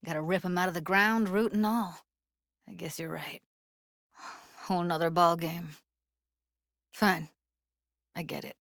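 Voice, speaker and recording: A woman's voice: on the low side, steady tone, a little slow, long pauses, fairly bright tone, no echo, clean studio sound. A woman playing a blunt, guarded but tender young techie in a video game, speaking in casual, clipped slang with a natural delivery.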